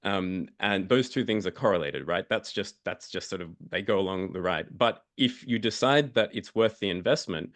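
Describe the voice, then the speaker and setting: A man with a deep voice speaks as a panelist in an informal discussion. He explains hesitantly, often repeating words as he goes. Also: animated, normal speed, hardly any pauses, fairly bright tone.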